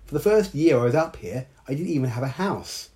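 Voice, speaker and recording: A man voicing an anxious, excitable young female mermaid in a storybook reading. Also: medium pitch, brisk, pitched above the performer's own voice, natural voice. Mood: distraught. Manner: emotional, pleading.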